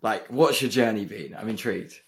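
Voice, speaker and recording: Low-voiced male host, halting questions. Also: vocal fry. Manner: halting, stammering restarts, warm praise. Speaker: podcast host. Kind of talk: interview questions.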